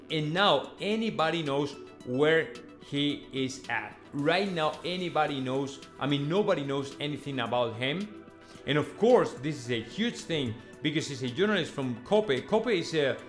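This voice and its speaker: A man talks casually to his audience as a football host. His delivery is conversational and emphatic, with repeated phrases and checking tags at the ends of thoughts. Light music plays underneath. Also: fairly high pitch. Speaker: football vlogger and fan channel host. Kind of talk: casual news commentary to viewers.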